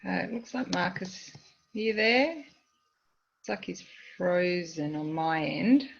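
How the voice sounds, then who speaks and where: A woman hosts an online panel, moving speakers along with brief, upbeat handovers. She talks slowly with a slightly gravelly edge, and sounds distant and dull on the call. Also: on the low side, a little hiss or hum. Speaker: host. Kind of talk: moderating a panel.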